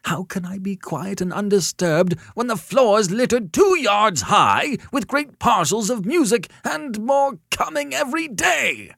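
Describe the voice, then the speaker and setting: A man voicing a querulous, hysterical novelist who wails and complains in a shrill, agitated way in an audiobook story. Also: very animated, a little slow, creaky, few pauses, a bit bright, no room sound, studio quality, heavily acted. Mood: wailing. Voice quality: shrill, wailing. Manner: agitated, emphatic, unburdening himself.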